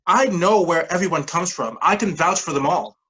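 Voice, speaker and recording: Low, husky, defensive woman played by an actress. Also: normal speed, a bit bright. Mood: dismissive. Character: defensive, fed-up woman underling. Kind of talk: staged play reading.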